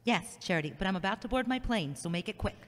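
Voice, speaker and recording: Woman as hurried frank friend, expressive, mid pitch, hall reverb. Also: lightly acted. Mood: brisk, teasing.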